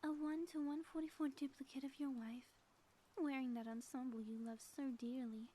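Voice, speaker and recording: A woman playing a sly, theatrical supervillain inventor in an ASMR audio roleplay, speaking softly with pompous, taunting phrasing and often dropping toward a whisper. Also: sits high, fairly even intonation, moderate pace. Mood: gloating, menacing. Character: gloating female supervillain inventor, sly, theatrical, secretly smitten.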